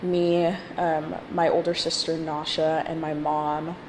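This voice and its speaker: Slow-spoken young woman in a roomy space. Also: low-pitched, little pitch movement, darkish tone. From born in Zimbabwe, grew up in Texas from age four. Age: 20s. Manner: hesitant, pausing mid-phrase, casual. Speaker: graduate student interviewed in a documentary. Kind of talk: personal interview, reflective talk. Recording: roomy echo, faint background noise.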